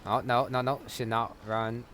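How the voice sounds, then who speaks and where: A man's voice: medium pitch, flat delivery, a little slow, a bit bright, slight background noise. A man giving casual gameplay commentary, musing in a dry, offhand way. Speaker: gamer commentator. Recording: game music behind.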